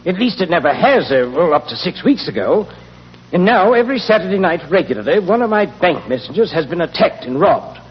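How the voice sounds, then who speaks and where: An actor playing an anxious English bank chairman with a rough, husky voice and an agitated, hesitant manner, in 1940s radio style. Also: high voice, big pitch swings, a little fast, heavily acted. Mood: worried explaining. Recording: muffled, echoey old radio recording.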